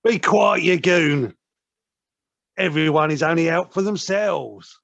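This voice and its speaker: Slow, sly boss weasel villain, acted out. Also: medium pitch, vocal fry, no echo, muffled recording. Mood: angry bullying. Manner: menacing, sneering, drawling with sudden snaps. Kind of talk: children's audio play acting. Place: living room at home, recorded over video call.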